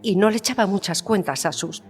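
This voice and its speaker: A woman's voice: mid-range voice, soft background music, natural voice. Actress as proud, wounded Spanish queen in a reverberant hall. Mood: wounded, guarded. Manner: formal, measured, pointed. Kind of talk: dramatised historical interview.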